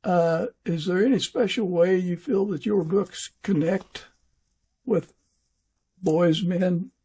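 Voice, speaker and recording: Male podcast host, higher-pitched with a relaxed delivery, halting, conversational. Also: breathy edge. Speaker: fiction author. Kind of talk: casual interview chat.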